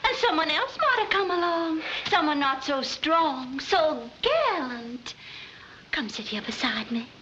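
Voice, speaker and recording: Very high, dramatic fake Southern belle. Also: female voice, big pitch swings, a little slow, pushed and bright, heavily acted. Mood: coy flirting. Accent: put-on American Southern. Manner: melodramatic, coy, flirtatious. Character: scheming woman putting on a helpless Southern belle. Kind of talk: old film comedy acting. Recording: dull old film soundtrack, background noise.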